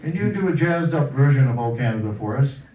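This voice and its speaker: A man's voice: mid-range voice, animated, brisk, warm tone, dull audio, slight background noise. A man acting as master of ceremonies, teasing and joking with the guests as he introduces them. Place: church hall at a commemoration event. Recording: echoey hall from far off, crowd behind.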